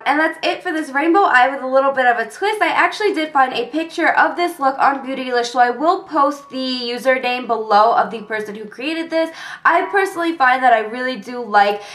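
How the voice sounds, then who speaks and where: Young woman, somewhat high voice, stuffy from a cold, calm tutorial explaining. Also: a little fast. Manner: calm step-by-step explaining with fillers. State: congested from a cold. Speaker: beauty vlogger. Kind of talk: makeup tutorial narration.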